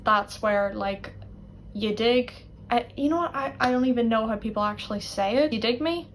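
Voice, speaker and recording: A woman's voice: fairly high pitch, moderate pace. A young woman with an Irish accent gives a chatty, playful, self-deprecating lesson for her online channel. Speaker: Irish language YouTuber. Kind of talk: casual teaching vlog. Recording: faint background noise.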